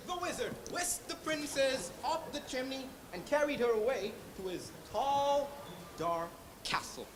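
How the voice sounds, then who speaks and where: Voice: sits high, animated, unhurried, echoey room. A teenager with a Thai accent narrating a story dramatically on stage. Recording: noisy live stage recording.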